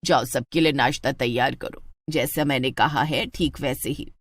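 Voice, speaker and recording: Story role: penny-pinching, nagging mother-in-law, acted by a female narrator, middle-aged, lowered voice, bossy yet coaxing, dry close sound. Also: steady tone, moderate pace, dry recording. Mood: bossy, flustered.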